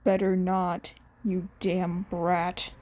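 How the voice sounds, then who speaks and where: Woman doing a low, gruff, stern male teacher. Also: very slow. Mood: gruff, grudging. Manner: curt, deadpan, grunting.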